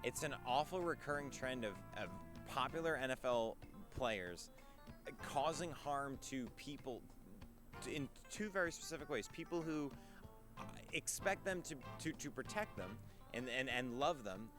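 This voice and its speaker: A man's voice: mid-range voice, animated, normal speed. A man in his early twenties answering a street interview, rambling and heated, with many fillers and restarts. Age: 20s. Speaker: student interviewee. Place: outdoors on a college campus. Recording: music playing underneath.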